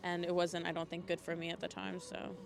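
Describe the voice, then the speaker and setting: A woman's voice: on the low side, completely flat delivery, brisk, gravelly. A young woman telling stories casually as a podcast guest, chatty and self-deprecating. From Europe, mainly Switzerland, Belgium and Scandinavia. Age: young adult. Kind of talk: casual conversational storytelling.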